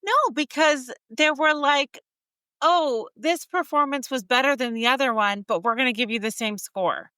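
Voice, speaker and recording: A woman in her thirties co-hosting a podcast, talking in an animated, indignant way and laughing often. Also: sits high, moderate pace, clear, resonant tone, no room sound, studio quality. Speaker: podcast co-host. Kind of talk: casual chat.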